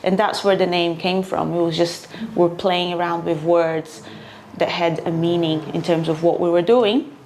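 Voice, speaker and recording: Brazilian woman guest, chatty w lots of uhs, lowish voice. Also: steady tone, moderate pace, darkish tone, slight background noise. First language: Portuguese. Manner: chatty, frequent uh fillers. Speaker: guest, property investor. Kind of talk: casual interview chat.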